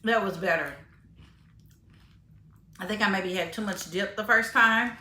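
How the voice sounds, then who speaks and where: A woman's voice: mid-range voice, unhurried, pushed and bright, roomy sound, slight background noise. A woman vlogging casually about grocery finds, chatty with fillers, tasting food as she talks. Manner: chatty, frequent fillers and restarts; eats while talking, tasting food on camera. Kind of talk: casual chat to camera.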